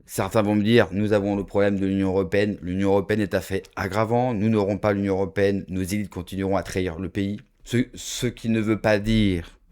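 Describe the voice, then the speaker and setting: A man's voice: lowish voice, steady tone, a little fast, a little mellow. A man from France giving an emphatic opinion monologue to his viewers, full of rhetorical asides and direct address. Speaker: political video commentator.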